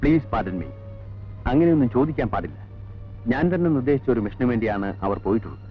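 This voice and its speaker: A formal, guarded head monk played by a male actor in an old film drama. He speaks in a measured, officious manner at a middle pitch. The soundtrack is thin and tinny, with little top or bottom. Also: big pitch swings, faint music behind, close to an everyday voice. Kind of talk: 1980s film drama acting.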